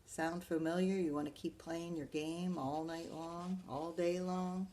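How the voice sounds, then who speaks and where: Low-pitched woman reading to children. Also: unhurried, a little hiss or hum. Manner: expressive, asks the listeners questions. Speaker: librarian reading a picture book aloud. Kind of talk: children's story read-aloud with asides to young listeners.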